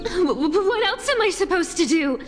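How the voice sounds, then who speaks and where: A woman's voice: very high voice, animated, normal speed. A woman playing a sly but tender-hearted, insecure female thief in a video game, emotional and teasing. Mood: distressed, pleading. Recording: voice over loud background music. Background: loud music.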